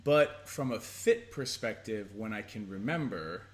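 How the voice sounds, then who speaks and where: A man's voice: lowish voice, expressive, unhurried. A man co-hosting a casual advice chat, playful and jokey, thinking aloud as he talks. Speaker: co-host.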